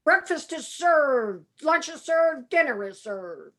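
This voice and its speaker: A woman's voice: high-pitched, expressive, slow, a bit bright, heavily acted. A woman playing a folksy, exclamatory uncle in his fifties in an amateur radio play. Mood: jovial. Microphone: video call.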